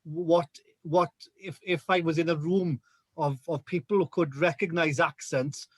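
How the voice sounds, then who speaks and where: Halting Welsh man, higher voice. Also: fairly even intonation, dry recording. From Wales. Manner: hesitant, repeats words often. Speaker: guest. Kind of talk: casual chat on a radio show. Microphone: video call.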